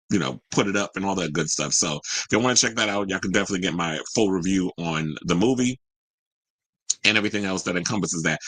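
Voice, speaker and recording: A man with a fairly low, husky voice talks as the host of a pop-culture stream. His delivery is informal and gossipy, wandering into asides, and the sound is close and dry. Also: pushed and bright, no echo, poor audio. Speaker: host of a celebrity news live stream. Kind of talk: casual chatty pop-culture commentary.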